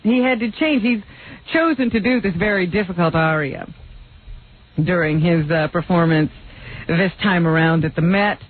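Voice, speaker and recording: A woman's voice: fairly low pitch, moderate pace. A woman co-hosting a radio show, chatting casually, laughing often and teasing her partner. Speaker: radio news co-host. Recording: tinny phone-like radio recording.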